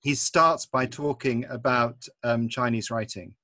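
A man's voice: mid-range voice, fairly even intonation, pushed and bright, no echo, clean studio sound. Man hosting a video call. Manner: friendly, brisk organiser. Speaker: meeting host. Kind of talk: casual video call chat. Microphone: video call laptop mic.

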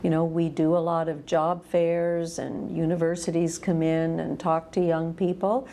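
A woman in her sixties, a school administrator, answering interview questions in a measured, thoughtful way with lapel mic. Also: low-pitched, level tone, mellow, a little hiss or hum. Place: school office indoors.

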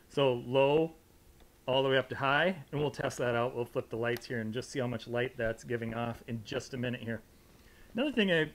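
Higher-pitched American man reviewing gear. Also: fairly bright tone. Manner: conversational, informal. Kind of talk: casual product review to camera. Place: indoor studio with fabric backdrop.

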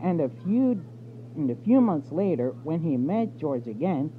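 Storybook reader, male, higher voice, slow halting delivery, phone-like noisy recording. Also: sing-song. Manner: halting, stumbling reading. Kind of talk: reading a children's storybook aloud. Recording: tinny phone-like audio with background noise.

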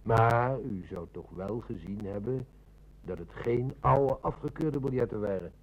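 An actor playing a shrewd, methodical police inspector near retirement in a radio play, with measured, polite questioning. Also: male voice, mid-range voice, low-quality recording. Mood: methodical, probing. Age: older. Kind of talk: Dutch radio play acting.

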